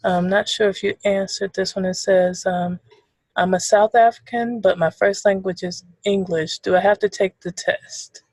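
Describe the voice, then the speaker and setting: Woman webinar moderator, friendly and relaxed, close dry sound, on a video call. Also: medium pitch, moderate pace, no echo, rough recording.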